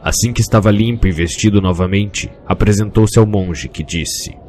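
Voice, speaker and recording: A man narrates a scripted story in a deep voice. His delivery is solemn and literary, like a storybook being read aloud, with soft music underneath. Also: normal speed.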